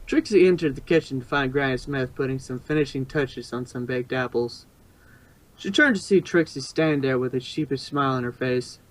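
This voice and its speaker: A man's voice: mid-range voice, moderate pace, poor audio. A man reading fan fiction aloud as an amateur narrator, sometimes stumbling and rereading phrases.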